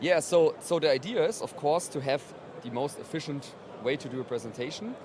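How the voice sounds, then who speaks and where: A man's voice: on the high side, animated, fairly quick. A man being interviewed as a company representative, explaining his product conversationally at a trade event. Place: busy exhibition hall. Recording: loud trade show floor noise.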